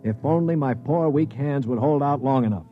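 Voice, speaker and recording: An actor playing a cynical, weak-handed captive aboard a spaceship in a 1950s radio drama, with dramatic delivery. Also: male voice, medium pitch, normal speed, natural voice. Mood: reflective. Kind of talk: 1950s radio drama acting with first-person narration. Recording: muffled 1950s radio recording with music.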